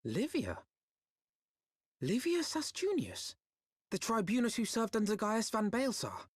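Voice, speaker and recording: A man's voice: sits high, huge pitch swings, unhurried, slightly rough, no echo, clean studio sound, exaggerated voice. A man playing a wary, probing comrade in a video game cutscene, speaking in a formal, pointed, questioning way. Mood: startled questioning.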